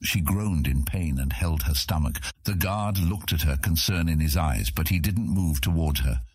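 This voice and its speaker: A man's voice: a bass voice, moderate pace, husky, no room sound. A man narrating a scripted fantasy audiobook as its storyteller.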